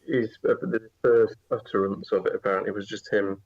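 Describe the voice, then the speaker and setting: A man's voice: lowish voice, steady tone, fairly quick, a little mellow, husky, muffled recording. A man guesting on a casual wrestling podcast, explaining his opinions at length.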